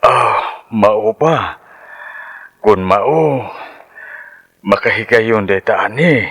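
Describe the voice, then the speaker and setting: A man's voice: lowish voice, expressive, drawn-out words, a bit bright, slightly rough, heavily acted. An actor playing a pushy, amorous man of sixty-three in a radio drama, with a Central Visayan Filipino accent. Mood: eager, probing. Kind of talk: Cebuano radio drama acting.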